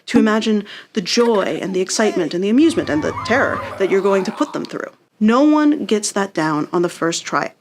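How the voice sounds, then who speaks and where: A woman's voice: medium pitch, a little hiss or hum. A woman delivering an instructional lesson as a writing instructor, in an encouraging and conversational manner.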